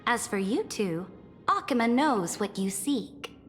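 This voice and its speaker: Imperious game empress, high sweeping voice, declamatory, commanding, long hall reverb. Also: female voice, big pitch swings, a little slow, soft background music. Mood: regal, composed. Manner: grand, declamatory, formal. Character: imperious woman emperor and conquering ruler, haughty, commanding. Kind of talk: dramatic video game voice acting.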